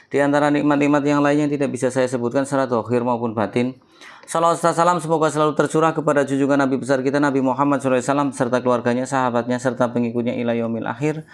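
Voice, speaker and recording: A man with a stuffy, nasal voice hosts his own channel about heritage blades. He speaks in a measured, prayerful way, leaving long pauses and gentle fillers as he explains. His pitch sits at an ordinary middle height for a man. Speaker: keris collector and channel host. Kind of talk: slow devotional-toned explanation to camera.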